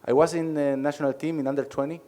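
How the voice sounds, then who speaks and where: A man from Argentina whose first language is Spanish, a head coach answering press questions in halting English with many pauses. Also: on the high side, little pitch movement, warm tone.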